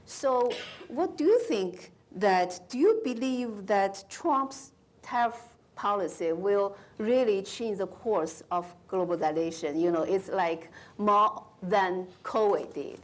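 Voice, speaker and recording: A woman moderating a panel, putting questions to a guest with halting, searching phrasing. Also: mid-range voice, animated.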